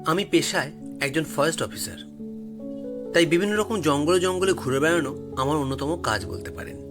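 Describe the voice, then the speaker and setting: Male storyteller, slightly high voice, very animated dramatic narration, music underneath. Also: normal speed. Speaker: storyteller, channel host.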